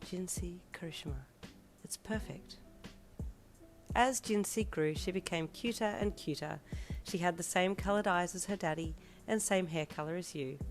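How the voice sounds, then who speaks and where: A woman with a hoarse, failing voice narrating a fanfiction story aimed at the listener. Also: on the low side, normal speed. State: hoarse, losing her voice. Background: soft lofi piano music.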